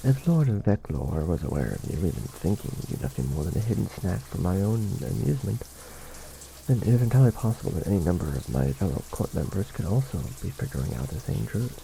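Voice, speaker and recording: Ancient vampire lord played by a man: low, creaky voice, formal and measured, coolly courtly but tender, romantic ASMR roleplay. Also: sing-song, mellow, a little hiss or hum, exaggerated voice, poor audio. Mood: earnest, protective. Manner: formal, measured, theatrical diction with pauses. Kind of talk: ASMR romantic roleplay, scripted character acting.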